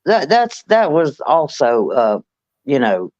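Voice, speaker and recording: A woman's voice: rumbling low, very animated, takes it slowly, a little mellow, no echo. A woman from North Carolina with a Southern accent, chatting casually in a sing-song, drawling way and calling people honey. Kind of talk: casual chat. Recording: dull lo-fi sound.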